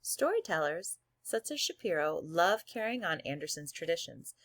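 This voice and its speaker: A woman with a slightly low voice, recorded close and dry. She works as an elementary teacher, reading aloud to her pupils and guiding them step by step. She addresses the class directly and encourages them as she goes. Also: big pitch swings, normal speed, a bit bright, no room sound.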